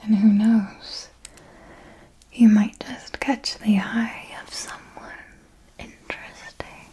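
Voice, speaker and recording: A woman voicing a seductive, menacing elder vampire mentor in a breathy, deliberate ASMR whisper, with possessive, condescending affection. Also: mid-range voice, fairly even intonation, dark tone. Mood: silky, intriguing.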